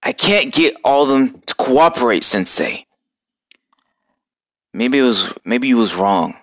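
A man's voice: big pitch swings, measured pace. Man voicing a worried turtle ninja leader. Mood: worried, earnest. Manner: earnest, low and hushed. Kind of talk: fan voice acting of a cartoon.

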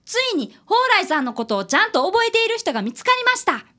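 A woman's voice: very high-pitched, very animated, quick pace, a bit bright, exaggerated voice. A woman in a radio drama playing an earnest child apprentice detective who narrates the case. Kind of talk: scripted radio drama acting.

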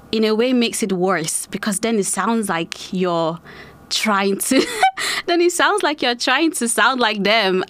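A woman's voice: medium pitch, a bit bright. A young woman from Nigeria with a hybrid Nigerian and British-leaning accent, hosting a casual solo podcast, chatty and laughing often. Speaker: podcast host.

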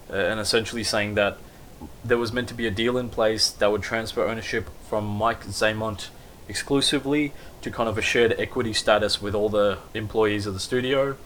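A man's voice: lowish voice, a bit bright, a little hiss or hum. A man giving a casual, rambling recap of news, with lots of basically and kind of.

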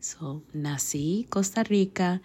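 A woman in her thirties, hoarse from a flu, hosting a faith podcast in an earnest, casual way, laughing easily and dropping to a soft near-whisper. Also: fairly low pitch, takes it slowly. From Canada. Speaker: podcast host.

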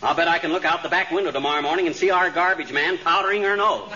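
An actor playing a blustery, folksy, wisecracking husband who is easily flustered, in a 1940s radio comedy. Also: male voice, fairly high pitch, fast talker, pushed and bright. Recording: dull 1940s radio recording.

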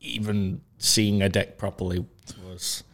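Late-twenties male guest, self-deprecating jokes, fairly low voice. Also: level tone, measured pace, creaky, fairly bright tone. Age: 20s. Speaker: podcast guest. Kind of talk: casual chat.

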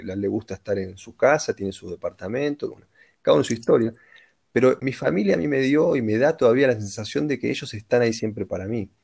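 A man's voice: lowish voice, creaky, a little mellow. A man with an Argentine Rioplatense accent, talking reflectively and philosophically in a casual conversation.

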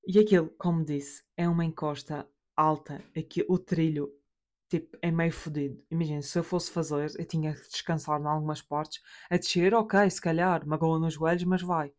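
A young woman with a Portuguese accent telling family anecdotes alone in a casual, animated way, with slang and swearing. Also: deep voice, moderate pace. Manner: animated, sweary, slangy, acts out quoted relatives. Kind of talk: casual solo storytelling.

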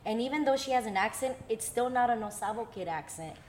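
A woman's voice: fairly high pitch, animated, quick pace, slight background noise. A woman giving her opinions in casual conversation. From United States. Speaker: podcast guest.

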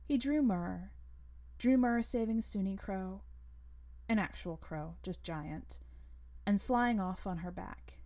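Mellow woman reading a short story. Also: medium pitch, slow. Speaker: podcast host and story reader. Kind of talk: literary story narration.

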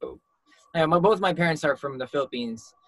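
A man from Hong Kong, a singer guest, talking softly and modestly, often trailing off quietly. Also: fairly high pitch, expressive, moderate pace, no echo, rough recording. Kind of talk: casual interview.

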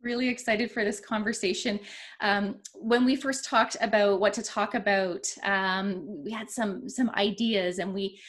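A woman hosts an interview podcast, her voice sitting at an ordinary middle pitch. She talks earnestly and loosely, restarting sentences and leaning on fillers as she sets up her questions. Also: steady tone, unhurried. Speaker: podcast host. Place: rural region of Ontario, Canada.

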